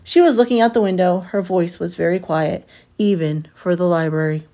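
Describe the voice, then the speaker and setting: A woman's voice: lowish voice, fairly even intonation, normal speed, dark tone. Woman reading a children's story, slightly muffled. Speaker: storyteller reading a picture book aloud. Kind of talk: expressive children's story narration. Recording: slightly dull, lo-fi sound.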